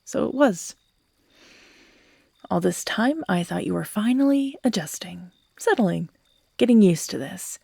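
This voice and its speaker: Actress as obsessive young female captor for audio roleplay: unhurried, creaky voice, manipulative, theatrical, swinging from whisper to outburst. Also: mid-range voice, expressive, a little mellow, no echo, studio quality, heavily acted. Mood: hurt, pleading. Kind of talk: dramatic ASMR audio roleplay acting.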